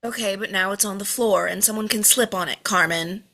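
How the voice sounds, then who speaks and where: A woman's voice: medium pitch, fairly quick, sharp tone. A woman playing a volatile, overwhelmed mother in her late forties, snapping and swearing in an amateur drama table read. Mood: bossy, irritated.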